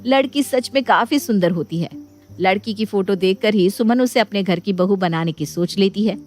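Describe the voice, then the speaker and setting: A woman tells a scripted story as its narrator. Her voice sits at an average pitch for a woman. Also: normal speed, a little mellow, soft background music.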